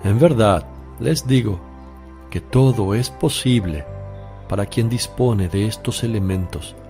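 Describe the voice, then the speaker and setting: An authoritative master teaching disciples in a religious radio drama. He speaks very slowly and solemnly, in a mellow tone. Music sits behind him. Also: fairly low pitch. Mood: solemn instructing. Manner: measured, solemn, exhortative.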